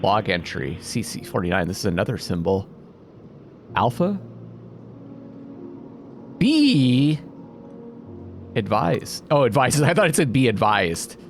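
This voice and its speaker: A man doing casual let's play commentary, thinking out loud and reading game text aloud. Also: on the low side, big pitch swings, takes it slowly, creaky, pauses often. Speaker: video game player and host. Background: atmospheric game music.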